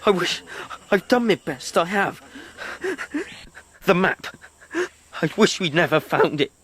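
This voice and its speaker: An actor playing a frightened, earnest lad with a husky voice, narrating his adventure in a radio drama. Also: high-pitched, takes it slowly, fairly bright tone, no echo, heavily acted. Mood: tearful, regretful.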